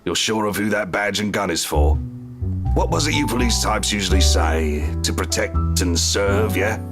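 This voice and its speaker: Man playing an easygoing, low-voiced teasing barman. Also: lively intonation, moderate pace, lightly acted. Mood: wistful teasing. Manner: chuckling, familiar, easygoing. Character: amused older male barman, teasing and fatherly. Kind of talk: visual novel dialogue read aloud. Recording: music playing behind the voice.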